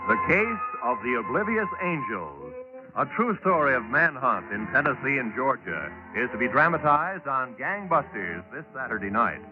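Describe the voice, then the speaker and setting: Man, radio announcer, formal declamatory delivery, lively intonation, muffled 1940s broadcast, music behind. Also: mid-range voice, normal speed.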